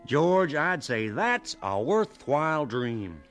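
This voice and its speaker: An actor playing a practical, kindly rural settler who talks in a plainspoken, encouraging way in a radio drama. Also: male voice, a little high, big pitch swings, slow, fairly bright tone, faint music behind, exaggerated voice. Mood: earnest, supportive.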